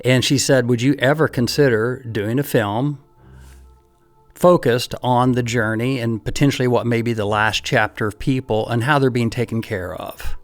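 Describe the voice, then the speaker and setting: A middle-aged man from Missouri, a photographer and filmmaker, telling relaxed stories in a radio interview. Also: mid-range voice, normal speed, faint music behind. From Missouri, USA. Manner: relaxed storytelling, reflective.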